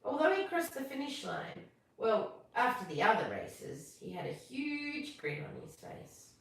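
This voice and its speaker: An Australian woman hosting a live stream, moving between casual chat and expressive storybook reading. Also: on the high side, measured pace, gravelly. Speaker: live stream host reading bedtime stories. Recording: echoey room, distant from mic.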